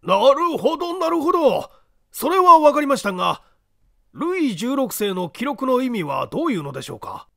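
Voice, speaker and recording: A man voicing an earnest, puzzled gentleman in an audiobook, formal, deferential and questioning. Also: extremely high pitch, big pitch swings, normal speed, gravelly, no room sound, clean studio sound, pitched above the performer's own voice, exaggerated voice. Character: earnest, puzzled gentleman, polite and easily flustered. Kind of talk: audiobook character dialogue.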